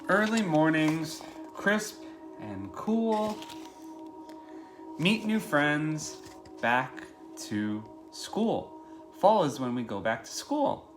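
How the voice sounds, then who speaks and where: A man in his thirties, a preschool teacher reading a picture book aloud and talking simply and directly to young children. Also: medium pitch. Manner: addresses small children directly with questions and simple explanations. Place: living room at home, indoors.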